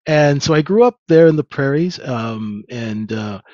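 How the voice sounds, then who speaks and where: Man in his fifties telling stories in a relaxed, rambling way. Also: mid-range voice, a little slow, no echo. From Canada. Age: 50s. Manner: relaxed, rambling, many fillers. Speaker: trader guest. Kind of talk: casual interview storytelling. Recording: dull lo-fi dry sound.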